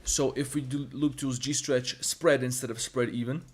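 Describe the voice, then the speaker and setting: A man's voice: mid-range voice, steady tone, moderate pace, breathy edge. A man with a Balkan accent, a native Serbo-Croatian speaker, streams a 3D modeling tutorial while chatting with his viewers in a blunt, profane, rambling way. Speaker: 3D artist and streamer. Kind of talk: livestream tutorial with chat.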